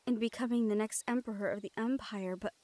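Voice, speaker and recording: A woman playing a guarded but tender young thief in an intimate roleplay audio drama, speaking in a hushed, hesitant way. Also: on the high side, steady tone, fairly quick, lightly acted.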